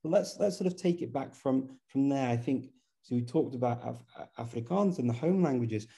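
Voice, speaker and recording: A man hosting a relaxed interview. His voice is deep-toned and mellow, with a gravelly fry running through it. He asks long, friendly questions and often stumbles and restarts mid-phrase. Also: moderate pace. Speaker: host. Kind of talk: conversational interview.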